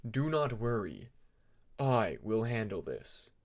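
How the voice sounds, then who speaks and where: A man voicing a composed, unimpressed teenage dragon king with a measured, smug manner in an audiobook story. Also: on the low side, sing-song, exaggerated voice. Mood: soothing. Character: calm, unimpressed fifteen-year-old male dragon king. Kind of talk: fan fiction audiobook character voice.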